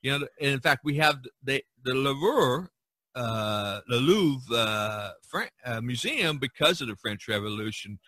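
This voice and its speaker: Man, podcast host, bright voice, rambling storyteller, casual chat, dry sound. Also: medium pitch, animated, a little slow, dry recording. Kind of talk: casual discussion of art history.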